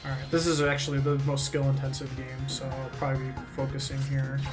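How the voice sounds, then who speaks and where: Flat-toned man streaming over game music. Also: medium pitch, brisk. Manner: rambling, thinking aloud while playing. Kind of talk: casual live gaming commentary.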